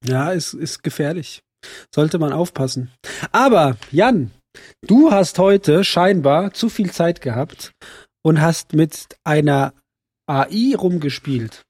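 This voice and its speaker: A hoarse man who is coming down with a cold, co-hosting a podcast in casual, teasing chat with halting restarts. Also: a little high, animated, darkish tone, dry recording. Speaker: podcast co-host.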